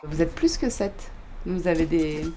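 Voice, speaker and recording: A young woman presenting a student TV show and interviewing a guest, speaking in a relaxed, friendly way with frequent hesitations. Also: sits low, unhurried, background noise. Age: young adult. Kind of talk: interview and live studio presentation.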